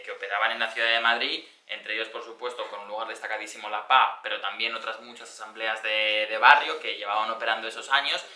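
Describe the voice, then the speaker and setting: A man's voice: medium pitch, pushed and bright. A young man from Alicante with a Spanish accent from Spain, explaining things in an informal political presentation with frequent tag questions. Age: young adult. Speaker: tenants' union activist, speaker. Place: indoor social-centre room before an audience. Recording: echoey room sound.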